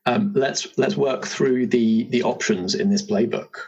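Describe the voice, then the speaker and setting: A man's voice: medium pitch, steady tone, unhurried, vocal fry, darkish tone, slightly rough, dull audio. A man hosting a tabletop character creation session as game master, guiding it with casual questions and explanations.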